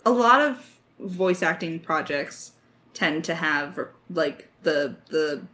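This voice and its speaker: A woman's voice: low voice, animated, takes it slowly, pushed and bright. A woman chatting casually and informally, given to giggling, as a podcast writer and voice actor in a creator Q&A. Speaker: lead writer and voice actor of an audio drama podcast.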